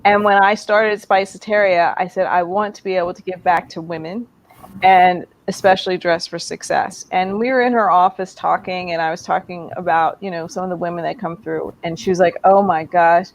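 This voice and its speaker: A woman's voice: fairly low pitch, fairly even intonation, moderate pace. A woman hosting a casual live interview, chatty and enthusiastic, with lots of fillers. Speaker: host.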